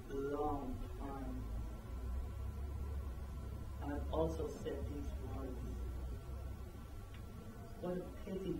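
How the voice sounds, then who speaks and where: Male actor as regretful husband, middle-aged. Stage reading, deliberate and earnest, slightly high voice, distant microphone. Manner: halting, deliberate, earnest delivery. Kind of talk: dramatic reading of a stage play. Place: echoing room or hall, recorded from afar. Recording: echoey room, distant mic, loud noise.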